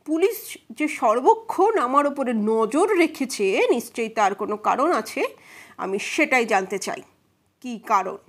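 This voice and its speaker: A woman's voice: fairly high pitch, expressive, a little slow. A woman playing an indignant, defensive male army major in a detective audio story, clipped and demanding. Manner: clipped, demanding, peppers speech with English exclamations.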